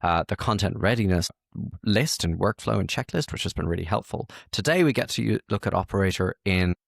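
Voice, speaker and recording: A man's voice: low-pitched, expressive, a little fast, creaky, no room sound, clean studio sound. A man hosting a podcast in a casual interview style, informal and given to banter. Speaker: podcast host.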